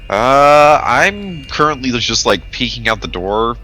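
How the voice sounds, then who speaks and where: A man casually describing his moves as a tabletop game player, in a halting, rambling way. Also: mid-range voice, animated, sharp tone, faint music behind. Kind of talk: casual tabletop role-play chat.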